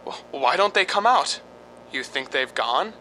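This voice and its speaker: Radio drama property owner played by a man: curt, stingy, skeptical, animated pitch, noisy background. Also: measured pace, exaggerated voice. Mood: uneasy. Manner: curt, grudging, querulous. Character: grumpy, skeptical, penny-pinching male property owner.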